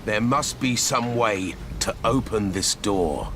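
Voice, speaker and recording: A man's voice: lowish voice, animated, slow pace, noisy background. A man playing a grim, blunt warrior in a video game, terse and cold in his delivery. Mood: cool, practical. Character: grim, blunt warrior freed from prison, deep-voiced man. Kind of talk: video game cutscene acting. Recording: loud music over the voice.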